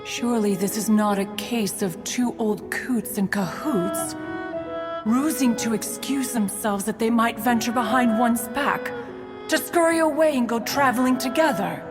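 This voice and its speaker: Woman playing a grand old crane spirit lady, slow and stately, talks like royalty, echoey. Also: a little high, close to an everyday voice. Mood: haughty. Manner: pompous, archaic, calls herself "one". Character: aloof elder female crane spirit. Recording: reverberant, with game music.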